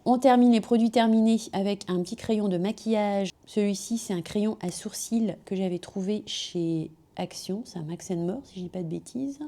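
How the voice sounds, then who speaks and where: Chatty woman with a slightly hoarse cold voice. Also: mid-range voice, moderate pace, hardly any pauses, mellow. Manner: chatty, many fillers and self-corrections. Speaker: beauty vlogger. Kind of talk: casual product review chat. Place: at home with a machine running nearby. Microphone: small magnetic clip-on mic.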